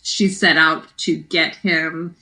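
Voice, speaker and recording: A woman's voice: low-pitched, level tone, slow, pushed and bright, low-quality recording. A woman co-hosting a podcast, chatting casually as she discusses a book.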